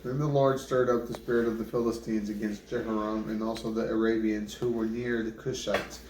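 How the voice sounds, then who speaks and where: A man reading scripture aloud in a plain, unpolished way, halting and correcting himself over names. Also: lowish voice, flat delivery, a little mellow. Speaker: Bible reader. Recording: roomy, distant mic, clear background noise.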